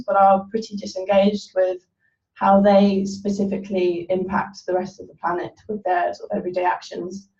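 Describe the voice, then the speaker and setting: A woman's voice: medium pitch, darkish tone. A young woman, a student, giving a webinar talk with frequent hesitations and ums. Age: young adult. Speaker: student presenter. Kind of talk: webinar presentation. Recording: distant, echoey, muffled webinar audio.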